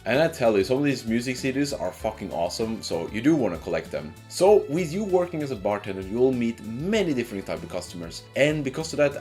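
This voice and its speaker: A man's voice: mid-range voice, a little mellow. A man narrating a casual scripted video game review in an informal, sweary way.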